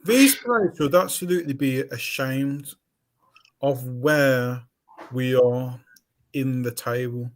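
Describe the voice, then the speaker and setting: A man, hosting a casual fan reaction chat, animated and jokey with stumbling restarts. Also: medium pitch, slow. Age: 30s. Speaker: channel host.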